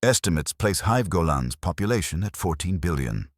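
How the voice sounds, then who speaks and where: A man's voice: low-pitched, sing-song, moderate pace, no room sound, studio quality. Man reading as a terse, hollow warrior nun. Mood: guarded. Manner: terse, formal, controlled. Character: hollow, guarded female warrior nun, coldly dutiful.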